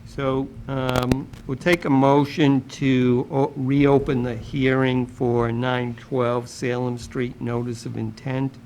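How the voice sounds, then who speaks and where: A man chairing a town commission meeting, speaking slowly with many pauses and fillers. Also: mid-range voice, flat delivery, a little mellow, a little hiss or hum, poor audio. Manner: slow, hesitant with many fillers. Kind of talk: public meeting.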